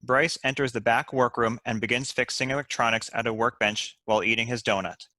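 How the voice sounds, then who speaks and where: A man narrates stage directions from a script. His mid-pitched voice is bright and forceful, recorded close and dry. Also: fairly even intonation, fairly quick, no room sound. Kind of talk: scripted narration at a comedy table read.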